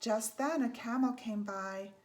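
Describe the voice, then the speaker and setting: A woman with a slightly high voice narrates a children's picture book. Her storytelling is measured and deliberate, with certain phrases given extra weight. There is faint background noise in the recording. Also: darkish tone. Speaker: storybook reader.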